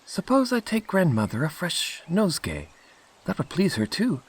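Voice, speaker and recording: A man's voice: sits high, wildly swooping pitch, moderate pace, slightly rough, pitched above the performer's own voice, heavily acted. A man voicing an innocent, polite little girl in a storybook tale, earnest and wide-eyed. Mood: bright, pondering. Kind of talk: storybook character voice.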